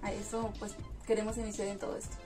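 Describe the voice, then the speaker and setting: A woman's voice: medium pitch, flat delivery, quick pace. A woman in her twenties from the State of Mexico with a central Mexican accent, chatting casually as a guest. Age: 20s. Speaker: interview guest. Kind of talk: casual chat. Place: small indoor lounge room in a club. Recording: clear music behind the voice.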